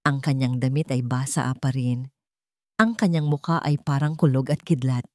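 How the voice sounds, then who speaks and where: Low-voiced woman narrating a dramatic story. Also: moderate pace, no echo, clean studio sound.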